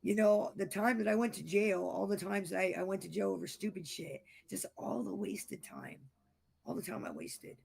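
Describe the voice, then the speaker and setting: Man, hoarse, gravelly, husky, raspy from vocal cord damage, high-pitched for a man, frank rambling chat, video call. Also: expressive, moderate pace. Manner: repeats himself, frank and rambling. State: losing his voice, hoarse. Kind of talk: casual late-night chat.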